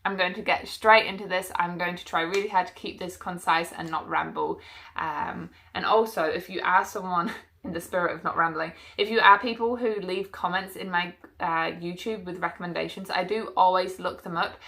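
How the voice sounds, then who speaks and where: Chatty, bright-voiced young woman, stuffy-nosed. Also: on the low side, steady tone, moderate pace, vocal fry. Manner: chatty and rambling, with frequent fillers and asides. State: stuffy-nosed from hay fever. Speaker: book vlogger. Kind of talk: casual chat to camera about books.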